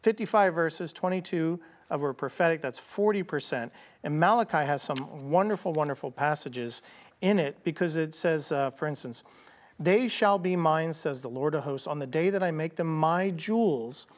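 A man co-hosting a Bible prophecy TV program, teaching in a conversational, animated way and voicing quoted people casually. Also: on the high side, moderate pace.

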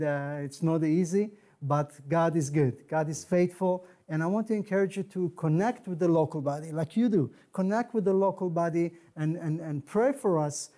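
A middle-aged man whose first language is Hebrew, speaking as a guest minister in an interview, earnest and preacherly with emphatic pauses. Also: fairly high pitch, mellow. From Israel. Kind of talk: interview, testimony and blessing.

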